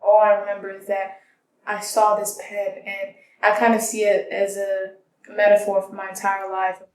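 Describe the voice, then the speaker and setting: Young woman telling stories casually, mid pitch voice, room echo on the recording. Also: monotone, moderate pace, a little hiss or hum. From born in Tanzania, grew up in the United States. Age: young adult. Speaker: interviewee. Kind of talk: casual personal storytelling. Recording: roomy, echoing interview recording.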